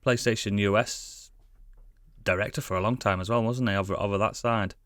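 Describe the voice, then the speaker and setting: A man's voice: lowish voice, steady tone, fairly quick, a bit bright, no room sound, studio quality. A British man hosting a podcast in casual banter, chummy and blunt, with slangy tag questions. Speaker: podcast host.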